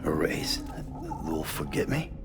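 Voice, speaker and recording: An actor playing a gruff, guarded, protective man in a cinematic video game, speaking tersely with a gravelly voice. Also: very animated, slow pace, in a whisper, over music, full character voice. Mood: worried, doubtful. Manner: terse, low-key. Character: gruff, guarded, protective male courier of few words.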